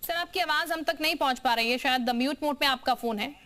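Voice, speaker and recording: A woman's voice: high voice, quick pace, pushed and bright. A woman television news anchor moderating a live debate and putting questions to guests.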